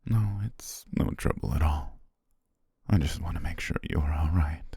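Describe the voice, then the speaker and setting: An extremely low, gravelly voice from a man acting as a soothing, possessive naga in the guise of a servant. He coaxes gently and formally in an intimate ASMR roleplay, breathy and often whispering, at an unhurried pace. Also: dry recording, clean studio sound, heavily acted. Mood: soothing, deferential. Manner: slow, gentle, formal, coaxing. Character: possessive male naga shapeshifter posing as devoted, soothing servant.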